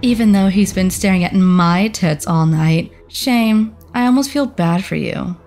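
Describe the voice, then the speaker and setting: A woman's voice: mid-range voice, a little slow, darkish tone, close to an everyday voice. A woman voicing a sassy, vain succubus popstar in a cartoon comic dub, with a sultry, sardonic drawl. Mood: smug, taunting. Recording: music behind the voice.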